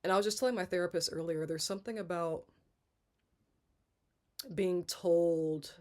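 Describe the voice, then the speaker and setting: A congested woman in her thirties talking candidly in a personal vlog, halting and rambling, often restarting and trailing off. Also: on the low side, a little mellow. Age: 30s. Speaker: vlogger.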